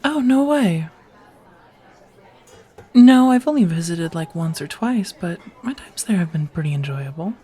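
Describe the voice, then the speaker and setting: A woman's voice: on the low side, lively intonation, measured pace, long pauses, slightly rough, some chatter behind. A woman playing a friendly, teasing firefighter on a date in an intimate, casual ASMR romance roleplay, chatty and reassuring. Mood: friendly, curious. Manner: chatty, playfully wry, reassuring, sprinkled with soft laughs.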